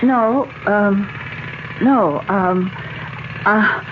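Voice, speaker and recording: A frightened, protective wife in a vintage radio play. She speaks in a mid-range voice, halting and anxious. The old recording is dull, with obvious noise behind her. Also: mid-range voice. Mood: nervous. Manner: hesitant, anxious, pleading. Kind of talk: old-time radio drama acting.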